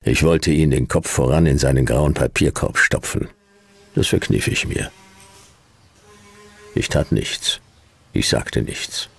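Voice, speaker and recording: Very deep, gravelly hardboiled detective. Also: male voice, takes it slowly, pauses often, full character voice. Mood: dry, sardonic. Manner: deadpan, terse, sardonic. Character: laconic hardboiled male private detective, wry and cynical. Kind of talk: noir radio play narration and dialogue.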